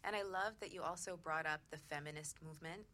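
A woman's voice: low voice, fast, bright, forceful tone, slight background noise. A woman hosting a radio talk discussion in an earnest, reflective manner. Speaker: radio show host.

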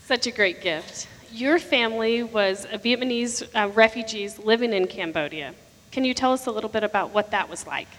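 A woman's voice: a little high, normal speed, a bit bright. A woman interviewing guests on stage and asking encouraging questions. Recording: long reverb of a hall.

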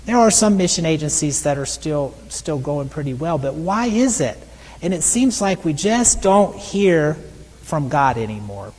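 A middle-aged male missionary preacher with a soft, high voice that is often taken for a woman's, telling stories in a conversational sermon with frequent restarts. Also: very animated, a little slow. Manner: conversational storytelling with repeated restarts. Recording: long hall reverb.

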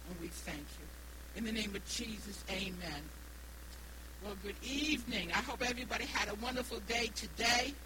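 A woman in her sixties with a New England accent preaches haltingly, repeating phrases and stressing key words. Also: mid-range voice. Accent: New England American. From Rhode Island, United States. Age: 60s. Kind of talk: evangelical sermon with personal testimony. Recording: noisy live recording with hum.

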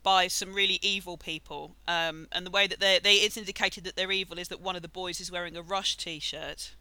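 Wry woman with a crisp, bright voice. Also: on the low side, fairly even intonation, brisk, no echo, low-quality recording. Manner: wry, self-deprecating. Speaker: comedian, podcast guest. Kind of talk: casual podcast chat.

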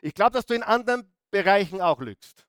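Male preacher, high-pitched and resonant, dry close sound, sermon delivery full of questions and stressed repeats. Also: lively intonation, brisk, fairly bright tone, clean tone, no room sound. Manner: rhetorical questions and emphatic repetition to the congregation. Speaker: pastor preaching at his church.

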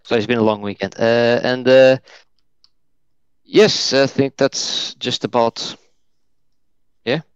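A man's voice: medium pitch, fairly even intonation, slow pace, pauses often, smooth, no room sound. A man from Portugal, a Portuguese native, chatting as a podcast guest, hesitant with many fillers and restarts. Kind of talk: casual chat. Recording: dull lo-fi dry sound.

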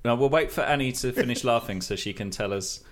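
A man's voice: mid-range voice, quick pace. A man co-hosting a comic podcast, talking in a dry, wry way. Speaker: podcast host.

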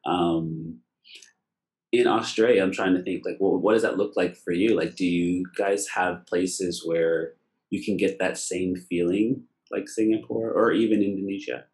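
A man's voice: low voice, fairly even intonation, measured pace, darkish tone. A man with a Canadian accent hosting a casual interview, talking in an enthusiastic, effusive, rambling way. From Nova Scotia, Canada. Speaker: interview host.

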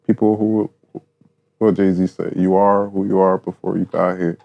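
A man joking loudly in a casual podcast chat and laughing often. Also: low-pitched, little pitch movement, normal speed, creaky, muffled recording.

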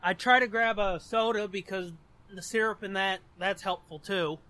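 A man's voice: high voice, steady tone, normal speed, bright, forceful tone. A man co-hosting a podcast in casual banter, joking bluntly and swearing freely. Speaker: podcast co-host. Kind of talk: casual banter, answering listener questions.

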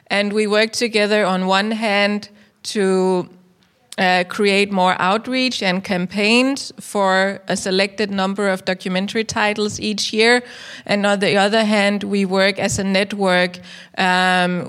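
A woman's voice: mid-range voice, a bit bright, clear, resonant tone. A woman introducing her work informally as a panelist at a live talk. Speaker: panelist, project manager. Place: talk in front of an audience.

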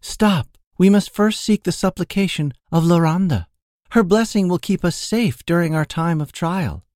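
A man's voice: sits high, normal speed, a little mellow, no room sound, clean studio sound, voice pushed up, close to an everyday voice. A man voicing a pious, prim female enchantress with a formal, preachy and reverent manner. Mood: urgent, pious.

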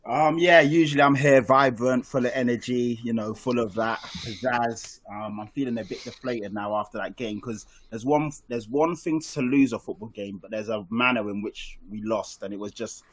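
A man's voice: mid-range voice, steady tone, moderate pace, few pauses. A man on a football podcast panel, talking hesitantly with plenty of "um"s. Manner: hesitant, with many "um"s. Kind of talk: casual football podcast chat.

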